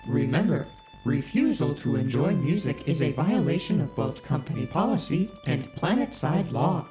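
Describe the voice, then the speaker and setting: An actor voicing a deadpan, polite corporate spaceship computer that talks in flat bureaucratic phrases, with music underneath. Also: mid-range voice, moderate pace, lightly acted. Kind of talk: audio drama.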